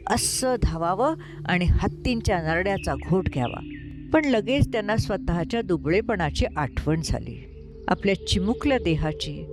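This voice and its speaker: A woman narrating a scripted story expressively as a storyteller. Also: fairly low pitch. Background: clear music.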